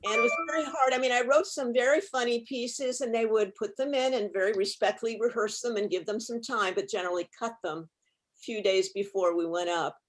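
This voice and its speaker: A woman recalling her career in conversation on a video call, articulate and measured, with a wryly understated way of putting things. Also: on the high side, rough recording. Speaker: panel guest, actress recalling her television career. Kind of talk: conversational reminiscing on a panel.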